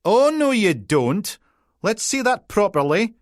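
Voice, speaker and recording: A man voicing a brusque young female police constable with a Peterhead Scottish accent, loud and flustered, stumbling over titles. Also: medium pitch, big pitch swings, takes it slowly, no room sound, clean studio sound, pitched above the performer's own voice. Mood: bossy.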